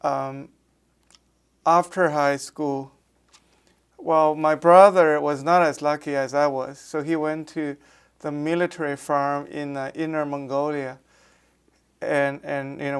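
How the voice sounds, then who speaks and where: Slow, smooth-voiced man in his sixties with a Beijing accent. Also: a little high, flat delivery. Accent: Beijing Mandarin. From Beijing, China. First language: Mandarin Chinese. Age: 60s. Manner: hesitant, many fillers and restarts. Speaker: architecture professor. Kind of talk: oral history interview.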